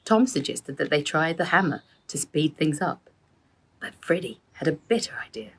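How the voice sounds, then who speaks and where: Low husky woman reading a children's story. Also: normal speed. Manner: animated, dramatic storytelling delivery. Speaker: author reading own book. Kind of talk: expressive children's audiobook narration.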